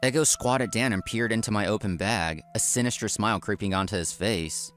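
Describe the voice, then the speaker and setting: Man acting a low-voiced, earnest office worker. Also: brisk, a bit bright, soft background music, natural voice. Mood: narrating. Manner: polite, earnest. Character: earnest, polite male office worker telling his story. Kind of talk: scripted first-person narration, comic dub.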